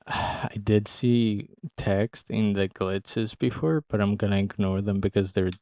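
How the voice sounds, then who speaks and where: A man with a fairly low voice comments casually as he reacts. He speaks haltingly, working out his thoughts as he goes, in a very dry recording. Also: a little mellow, no room sound. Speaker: reaction video host.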